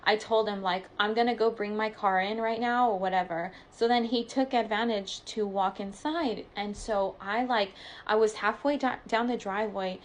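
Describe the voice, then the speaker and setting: A woman's voice: medium pitch, fairly even intonation, normal speed. A woman telling a personal story in a casual, confessional way, colloquial and dramatic, acting out the dialogue as she goes.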